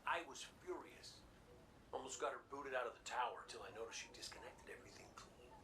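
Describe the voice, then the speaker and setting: A man's voice: medium pitch, fairly quick, natural voice. Male actor as sentimental wisecracking robot gunfighter, game dialogue, conversational and wry, noisy distant audio. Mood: fond, reminiscing. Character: wisecracking male robot gunslinger, gruff but sentimental, revived from death. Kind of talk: video game voice acting.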